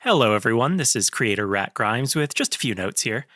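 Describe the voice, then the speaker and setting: A man's voice: medium pitch, expressive, brisk, pushed and bright, no room sound, studio quality, faint music behind. A man, the creator of a podcast, giving informal announcements and reading out credits.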